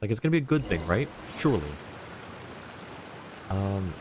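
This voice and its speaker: A man's voice: fairly low pitch, sing-song, moderate pace, darkish tone, a little hiss or hum. A man giving casual, reactive live commentary as a game streamer, in an informal way. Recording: game music under the voice.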